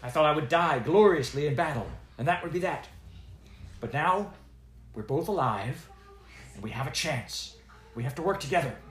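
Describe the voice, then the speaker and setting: Man voicing a gruff storybook dwarf warrior-priest, curt and pushy, roomy sound. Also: fairly high pitch, expressive, normal speed, pauses often, voice pushed up, natural voice. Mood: defensive. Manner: blunt, demanding. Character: gruff young male dwarf cleric, blunt and impatient.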